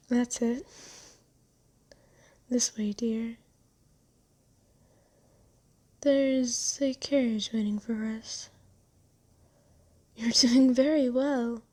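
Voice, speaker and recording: A woman playing a gentle, genteel vampire in an ASMR roleplay, soft-spoken, comforting and unhurried. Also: a little high. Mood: soothing. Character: gentle, soothing, genteel vampire who bought a captive. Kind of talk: ASMR roleplay, scripted character acting.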